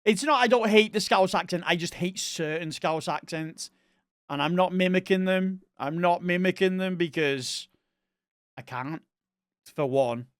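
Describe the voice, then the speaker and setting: A man with a Northwest English accent that blends Manchester and Liverpool sounds chats casually as a streamer, rambling and correcting himself mid-sentence. His voice sits a little high and sounds smooth and clean. The recording is dry and close, with no room sound. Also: a little slow, no echo. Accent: Northwest English, mix of Manchester and Liverpool. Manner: rambling, chatty, self-correcting. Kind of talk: casual live-stream chat reading viewer messages.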